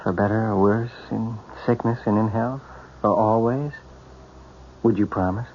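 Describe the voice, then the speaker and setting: A bitter invalid husband, jealous and self-pitying, speaking haltingly in a fairly low voice. It is 1970s radio drama, muffled, with some background noise. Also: male voice, fairly even intonation. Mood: accusing, self-pitying. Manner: halting, sardonic. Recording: dull, noisy radio drama recording.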